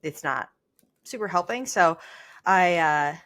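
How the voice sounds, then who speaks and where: A woman's voice: on the low side, animated, takes it slowly, a bit bright. A young adult woman talking casually and conversationally as a podcast co-host.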